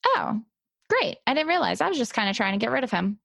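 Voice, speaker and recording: A woman's voice: fairly high pitch, sing-song, fast talker, vocal fry, fairly bright tone, dry recording, studio quality. A woman hosting a podcast, speaking in casual chat and light improvisation. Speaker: podcast host.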